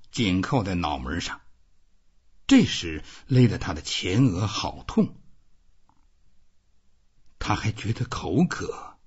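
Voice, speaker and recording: A man's voice: on the low side, slightly rough, muffled recording. A man reading scripted literary narration as an audiobook narrator.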